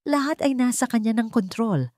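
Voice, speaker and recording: A woman's voice: on the high side, expressive, normal speed, a little mellow, dry recording, studio quality. A woman reading scripted dramatic fiction aloud as a story narrator.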